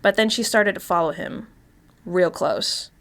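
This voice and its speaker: A woman's voice: lowish voice, animated, unhurried, vocal fry, fairly bright tone, exaggerated voice. Chatty, wry woman confessing as an actress plays her. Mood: confiding. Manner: confiding, wry, conversational. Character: chatty, wry Los Angeles woman past thirty confessing. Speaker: actor reading a short story. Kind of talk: first-person dramatic monologue, conversational storytelling.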